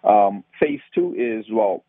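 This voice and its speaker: A man's voice: lowish voice, slow pace, no echo. A man from Trinidad with a Trinidadian accent, explaining his nonprofit work as an interview guest. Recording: tinny phone-like line, dry.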